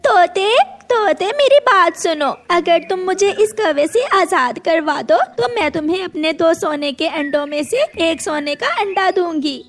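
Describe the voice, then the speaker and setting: A woman's voice: extremely high pitch, unhurried, few pauses, voice pushed up. A woman voicing a small mother sparrow in a children's cartoon, sweet and pleading but clever. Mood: coaxing.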